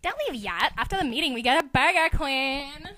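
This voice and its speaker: Woman playing a flamboyant, high, bright teenage boy. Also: animated, moderate pace. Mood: teasing. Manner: theatrical, campy, teasing. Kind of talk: amateur dramatic reading of a novel.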